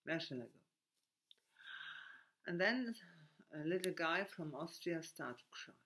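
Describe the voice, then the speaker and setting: German-accented man speaking haltingly. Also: a little high, lively intonation, pushed and bright. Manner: halting, restarts often, broken grammar. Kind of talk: unscripted reflective monologue.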